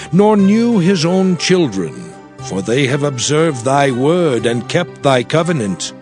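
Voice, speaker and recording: An actor playing Moses as a solemn old prophet, declaiming scripture slowly and deliberately in a dramatized reading. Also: male voice, fairly high pitch. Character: Moses, solemn old male prophet blessing and exhorting his people.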